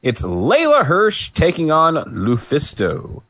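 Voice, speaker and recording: A man's voice: on the high side, wildly swooping pitch, slow, fairly bright tone, slightly rough, dry recording. A man co-hosting a podcast, recapping a wrestling match in casual chat, rambling with fillers and restarts. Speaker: podcast co-host.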